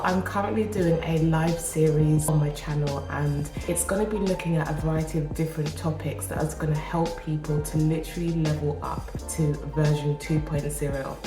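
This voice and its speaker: A woman talking to camera as a self-improvement vlogger, encouraging and casual, her voice nasal and groggy from a cold. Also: sits low. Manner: earnest, encouraging, casual with restarts. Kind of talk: motivational talk to camera. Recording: roomy echo with music behind. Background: background music.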